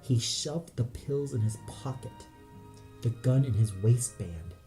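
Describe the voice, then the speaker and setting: A man's voice: mid-range voice, lively intonation, slow, dark tone. A man reading dramatic scripted narration for an audio play. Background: music.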